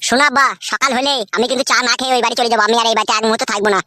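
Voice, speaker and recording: A woman's voice: on the high side, fairly even intonation, very fast, sharp tone, dry recording, clean studio sound. A woman voicing a hot-headed, complaining married village son in a comic cartoon. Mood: aggrieved. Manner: ranting, complaining to his father.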